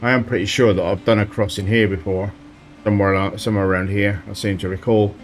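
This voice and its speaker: A man with a slight sore throat giving relaxed commentary while playing a driving game, thinking aloud as he goes. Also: lowish voice, a little fast, soft background music. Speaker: let's play host. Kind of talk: casual gameplay commentary.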